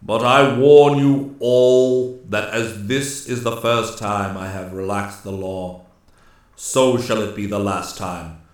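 Storybook forest king: old, stern, grave immortal with deliberate, commanding delivery, played by a male narrator. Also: fairly low pitch, steady tone, very echoey, distant mic. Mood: solemn, commanding.

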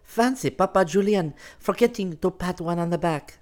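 A man's voice: mid-range voice, animated, moderate pace, pitched above the performer's own voice, close to an everyday voice. A man voicing a teasing young Parisian woman, sly and merry. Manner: lightly mocking, airy.

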